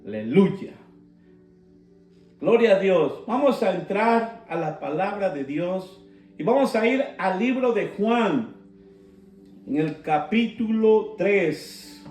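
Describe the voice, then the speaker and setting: A man preaching a sermon. He speaks with slow emphasis and breaks into fervent shouted calls. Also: high-pitched, expressive. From Guatemala. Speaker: pastor. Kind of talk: live-streamed sermon. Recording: roomy sound, mic at a distance, soft guitar.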